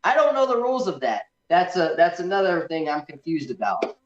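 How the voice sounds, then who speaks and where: A man's voice: on the high side, wildly swooping pitch, a little fast. A man co-hosting a casual film review chat, speaking in an informal, enthusiastic way. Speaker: podcast host. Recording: echoey room, slightly dull sound.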